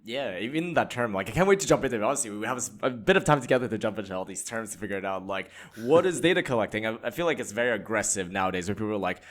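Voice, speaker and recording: A man's voice: on the low side, lively intonation, racing, hardly any pauses. A man raised in Canada hosting a podcast, asking rambling questions full of "like" in a casual chat. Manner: rambling, heavy use of "like". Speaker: podcast host.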